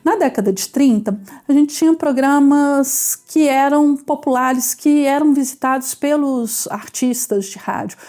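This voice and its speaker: A woman's voice: high voice, darkish tone. A Brazilian woman explaining history in a conversational interview.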